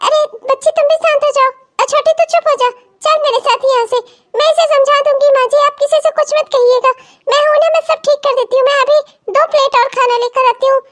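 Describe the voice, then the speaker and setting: A woman's voice: very high voice, steady tone, fast, fairly bright tone. A woman playing a meek, peacekeeping elder daughter-in-law in a family melodrama, soothing and submissive. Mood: pleading, soothing. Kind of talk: Hindi family melodrama story acting.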